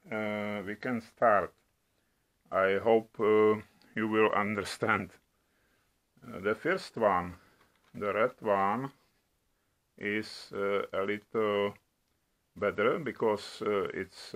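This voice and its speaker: A middle-aged man from the Czech Republic with a Czech accent, demonstrating products at home in a slow, halting way with many hesitations. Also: on the low side, a bit bright. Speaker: product reviewer. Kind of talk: casual product demo. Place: home room at a table.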